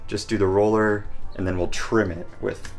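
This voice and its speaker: Young male vlogger, low-pitched, chatty and upbeat, arm's-length camera in an empty RV, music underneath. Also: little pitch movement, normal speed. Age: young adult. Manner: chatty, upbeat, self-filming. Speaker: vlog host. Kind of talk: casual renovation vlog. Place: inside a gutted RV, hard bare walls. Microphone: camera held at arm's length. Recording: music playing in the background.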